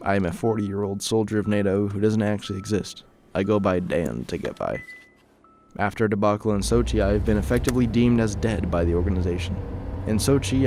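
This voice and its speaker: A man's voice: lowish voice, background noise, lightly acted. A man playing a weary, haunted soldier in his forties in an audio drama, grave and terse. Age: 40s. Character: weary haunted 40-year-old male ex-soldier in hiding. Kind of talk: audio drama acting and narration.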